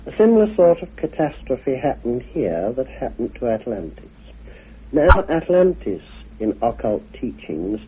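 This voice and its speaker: A man delivers a measured lecture in short, deliberate phrases. His pitch rises and falls widely and the voice is a little husky. It comes through a thin, tinny radio recording. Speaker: guest speaker on occult teachings.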